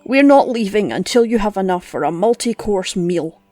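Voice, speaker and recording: Man doing a shrill bossy woman, crude and mocking, dry close sound. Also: animated, moderate pace, darkish tone, dry recording, soft background music. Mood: scolding. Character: bossy scolding woman, harsh.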